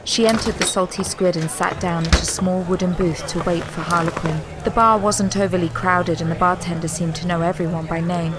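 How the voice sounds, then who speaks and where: A woman's voice: fairly low pitch, fairly even intonation, a little fast, few pauses, heavy background noise. A woman delivering scripted, dramatic story narration for an audio drama. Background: prominent music.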